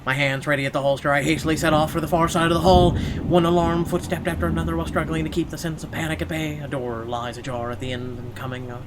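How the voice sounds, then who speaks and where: A man's voice: on the high side, level tone, fast, background noise. A man playing a horror game, reading its text aloud theatrically and adding sarcastic asides. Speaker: let's player.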